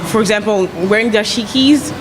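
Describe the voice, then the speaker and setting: A woman's voice: medium pitch, fairly quick. A young woman from Ghana talking casually and informally as a guest in a panel discussion. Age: young adult. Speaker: guest, PR agent. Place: glass-fronted cafe, indoors at a table. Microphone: shared handheld interview microphone. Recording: clear background noise of busy venue.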